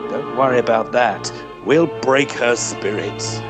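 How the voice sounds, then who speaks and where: A man's voice: medium pitch, a little slow, over music, natural voice. A man playing a confident, wicked Victorian guardian with an insinuating, theatrical manner in old-style radio melodrama. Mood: smug, scheming.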